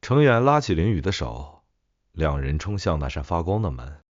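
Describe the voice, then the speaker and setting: Very deep male horror story narrator. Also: sing-song, normal speed, no echo, muffled recording. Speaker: audiobook narrator.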